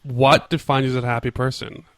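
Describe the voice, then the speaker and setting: A man's voice: mid-range voice, little pitch movement, brisk, fairly bright tone, clear, resonant tone, no echo. A man in a mid-range voice hosts a casual podcast, asking probing follow-up questions and sometimes stumbling over his words. The sound is dry and close, with no room around him. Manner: curious, asks follow-up questions, some stumbles. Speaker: podcast host, interviewer. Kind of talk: casual interview.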